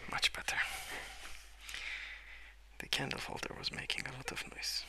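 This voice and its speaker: Male ASMR host: hushed, whispery murmur with very crisp bright edge, unhurried rambling delivery. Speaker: host of an ASMR colouring channel. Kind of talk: soft-spoken ASMR rambling. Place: indoors at a wooden desk.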